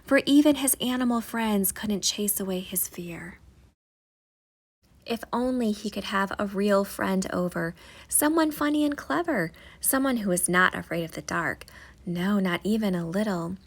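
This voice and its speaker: A woman reading a children's bedtime story aloud, with a breathy voice and a dramatic, drawn-out storybook delivery. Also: medium pitch. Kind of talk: expressive bedtime story narration.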